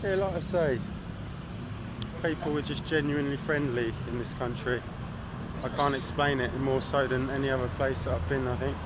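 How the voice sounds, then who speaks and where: A man's voice: mid-range voice, steady tone, moderate pace. Chatty man vlogging on a noisy street. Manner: chatty, swearing, rambling asides. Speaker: travel vlogger. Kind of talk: casual vlog narration. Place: outdoors on a city street. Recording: street traffic noise. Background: traffic and street noise.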